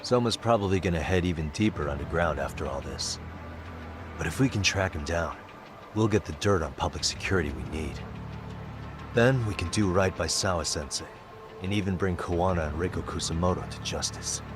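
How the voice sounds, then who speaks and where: An actor playing a level-headed detective in an English game dub, measured and explanatory as he briefs his allies. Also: deep voice, music in the background, lightly acted. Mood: serious, steady.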